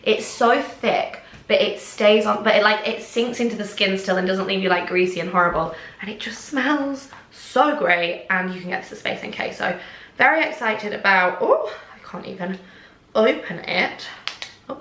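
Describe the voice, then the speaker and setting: A woman's voice: mid-range voice, normal speed, fairly bright tone. Young woman, from England, casual vlog chat, bubbly, rambling, snuffly, echoey kitchen. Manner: bubbly, rambling, lots of "like" and "oh my gosh". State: snuffly, a bit congested. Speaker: vlogger. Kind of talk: casual chatty vlog to camera. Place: home kitchen, indoors. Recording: echoey room.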